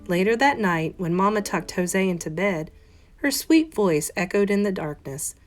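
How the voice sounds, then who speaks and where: A woman, a teacher, reading a children's picture book aloud in an expressive, carefully phrased, dramatic manner. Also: medium pitch, normal speed. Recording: soft guitar music behind voice. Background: plucked guitar music.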